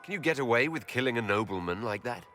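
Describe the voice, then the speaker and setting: A man's voice: medium pitch, lively intonation, fast, sharp tone. A man playing a guarded, direct fantasy hero in a video game, questioning in a formal manner. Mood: guarded, inquiring. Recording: music under the voice.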